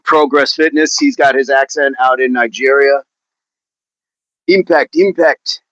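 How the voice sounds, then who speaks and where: A man's voice: mid-range voice, fairly even intonation, a little slow, a bit bright, no room sound, highs cut off. A man hosting a fitness livestream, chatting casually and answering viewer comments in an upbeat, laughing, repetitive way. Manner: chatty, upbeat, laughs often, repeats phrases.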